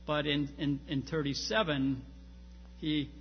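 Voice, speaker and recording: Male academic lecturer, slightly gravelly, emphatic but halting delivery, speaking in a reverberant hall with some background noise. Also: on the high side, steady tone, muffled recording. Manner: halting, searching phrasing with repeated starts and emphatic stresses. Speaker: lecturer on church history and theology. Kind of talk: informal academic lecture with slides.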